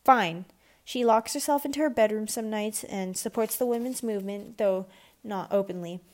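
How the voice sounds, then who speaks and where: A woman's voice: mid-range voice, moderate pace, natural voice. A woman voicing a snobbish, spiteful eleven-year-old girl in a children's audiobook, with a haughty, sneering manner. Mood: scornful. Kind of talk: children's audiobook character dialogue.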